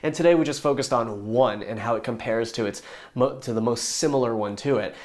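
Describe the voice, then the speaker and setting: A hoarse young man talking casually and enthusiastically, rambling with fillers and restarts as he explains things to his viewers. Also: medium pitch, fairly quick. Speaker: YouTube car reviewer.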